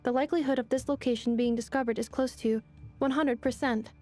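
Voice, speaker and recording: A woman's voice: high voice, steady tone, brisk, mellow, faint music behind, lightly acted. An actress voicing an analytical, composed female robot in a video game, speaking in a precise, formal, matter-of-fact manner. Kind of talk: video game character acting.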